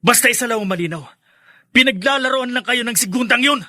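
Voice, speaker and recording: A man's voice: very high-pitched, a little fast, pushed and bright, no room sound, studio quality, exaggerated voice. A man playing a defiant young son in a Filipino radio drama, insistent and biting as he guards family honor. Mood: indignant, defiant.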